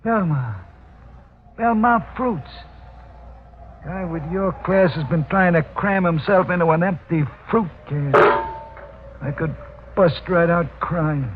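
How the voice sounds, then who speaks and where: A man's voice: high-pitched, slow pace, long pauses. An actor playing a cynical, streetwise racket henchman with wry, slangy tough talk, in 1940s radio mystery style. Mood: wry, needling. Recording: tinny, noisy old 1940s radio recording.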